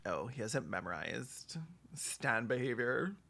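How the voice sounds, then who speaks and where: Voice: mid-range voice, expressive, slow, vocal fry, over music. A video host giving sarcastic, crude comic commentary in a dry, snarky manner. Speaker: host of a reaction video show.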